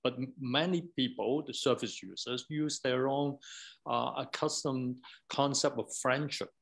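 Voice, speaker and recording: A man with a mid-pitched voice talks through ideas as an academic researcher would. His delivery is informal and discursive. He moves haltingly, often leaning on "like" and checking in with "right?". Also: creaky, fairly bright tone.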